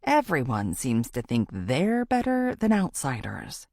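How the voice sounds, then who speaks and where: A woman's voice: extremely low pitch, wildly swooping pitch, a little slow, warm tone, no echo, clean studio sound, pitched below the performer's own voice, exaggerated voice. A woman voicing a charming, easygoing young man with a playful, teasing manner in an audiobook. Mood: wry, guarded. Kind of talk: audiobook character dialogue.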